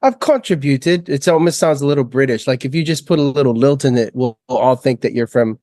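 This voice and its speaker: Male co-host from American Samoa; friendly, joking, halting delivery, dry recording. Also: mid-range voice, dark tone, no room sound. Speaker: podcast co-host. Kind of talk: casual podcast chat.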